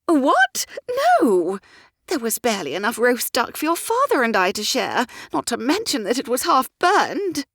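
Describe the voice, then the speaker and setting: A woman voicing a flighty, dramatic older society mother who talks in shrill, theatrical bursts. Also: very animated, normal speed, a bit bright, no room sound, clean studio sound, exaggerated voice. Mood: fretful chatter.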